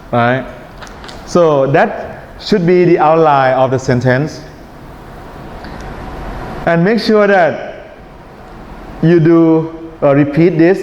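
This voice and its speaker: A man with a Thai accent teaching an IELTS writing class in a relaxed lecture style, often checking in with his students. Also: a little high. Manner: relaxed, checks in with "right?" and "okay?". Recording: echoey hall, dull sound, faint hiss.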